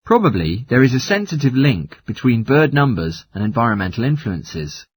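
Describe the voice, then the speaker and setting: A man reading scripted listening test dialogue and lecture in a formal, measured, articulate way, with a slightly husky voice. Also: medium pitch, very animated, a little hiss or hum, natural voice.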